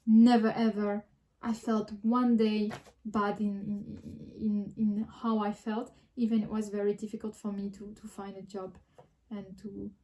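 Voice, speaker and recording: French-accented woman with a mellow voice. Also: medium pitch, flat delivery, measured pace, few pauses, muffled recording, a little hiss or hum. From France. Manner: frequent restarts and hesitations, reflective. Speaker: vlogger. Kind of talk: casual personal vlog.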